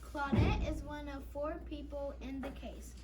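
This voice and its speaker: A woman's voice: high-pitched, steady tone, unhurried. A woman reading a play script aloud in an amateur way, now and then stumbling over words. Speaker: readers theater reader. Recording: distant mic with faint room noise.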